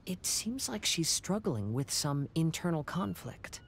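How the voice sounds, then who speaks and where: A man playing a calm, gentle, reflective young wanderer with a measured, soft-spoken manner in a video game. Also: fairly high pitch, creaky, slight background noise, heavily acted.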